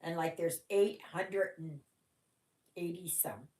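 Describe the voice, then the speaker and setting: A woman's voice: lowish voice, takes it slowly, darkish tone, echoey room. A Canadian woman whose first language was German, hosting a casual stitching livestream and chatting in a rambling way full of "oh my gosh".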